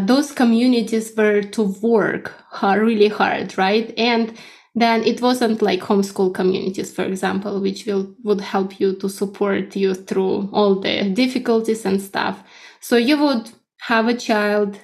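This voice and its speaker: A woman's voice: mid-range voice, a little mellow. A woman in her thirties with a Russian accent, answering conversationally as a podcast guest and often checking in as she explains. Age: 30s.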